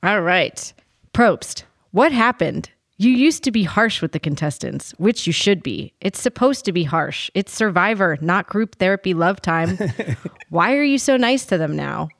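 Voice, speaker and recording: A woman's voice: on the low side, animated, measured pace, vocal fry, no room sound, clean studio sound. An American woman co-hosting a podcast in casual, conversational talk. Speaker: podcast co-host and show producer.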